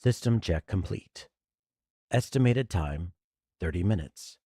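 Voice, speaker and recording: Spaceship AI in a game. Calm, formal, deep, slightly rough. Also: male voice, unhurried, no echo, clean studio sound, full character voice. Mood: neutral. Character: calm, emotionless spaceship computer. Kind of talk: English anime game voice acting.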